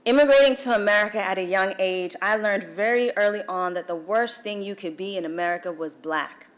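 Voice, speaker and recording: A young woman from Guyana with a Guyanese accent, delivering a formal speech at a celebration breakfast in measured, emphatic oratory with dramatic pauses. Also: mid-range voice, a bit bright. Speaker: featured speaker. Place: event hall.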